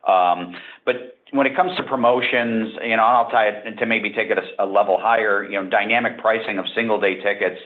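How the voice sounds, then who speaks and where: Exec dude, lowish voice, explaining stuff on an earnings call, hesitant, phone line sound. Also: male voice, steady tone, brisk. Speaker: company executive. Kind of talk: unscripted answers on an earnings call. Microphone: conference call line. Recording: narrow, phone-like conference call audio.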